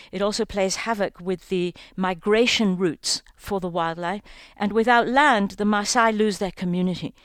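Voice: mid-range voice, clean tone, no echo. An interview guest from Kenya who founded a conservation organization, explaining at length in an articulate, flowing way.

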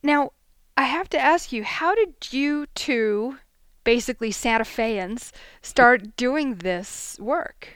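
A woman's voice: fairly high pitch, animated, takes it slowly, a bit bright, smooth, dry recording, clean studio sound. A woman hosting a radio program, asking her guests curious questions and reading announcements. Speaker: radio host.

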